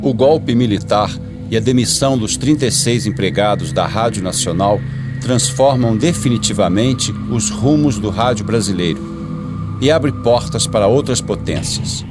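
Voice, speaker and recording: A man's voice: fairly low pitch, moderate pace. A man with a Brazilian accent delivering scripted documentary narration as a radio actor. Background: music under the voice.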